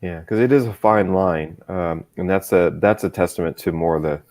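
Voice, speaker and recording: A man speaking as a guest in a casual chat, measured and deliberate, often repeating words as he searches for phrasing. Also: deep voice, a little mellow. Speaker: guest, comics creator.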